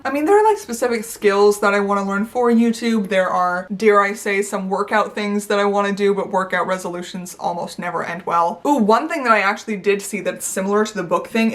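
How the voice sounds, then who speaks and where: A woman's voice: mid-range voice, a bit bright. Chatty young woman vlogging. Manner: chatty and rambly, playful asides. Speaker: YouTuber. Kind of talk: unscripted casual chat to camera.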